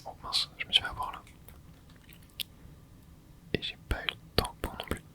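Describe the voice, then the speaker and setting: A man in his twenties, whispering softly as he comments on his gameplay for viewers. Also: normal speed. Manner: soft whispering, with excited asides to viewers. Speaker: gaming ASMR creator.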